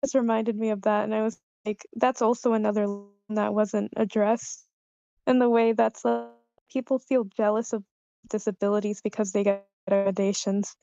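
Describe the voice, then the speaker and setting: A woman talking in an informal group discussion, halting as she searches for her words. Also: medium pitch, little pitch movement, gravelly, warm tone, dry recording, dull audio. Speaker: participant giving script feedback.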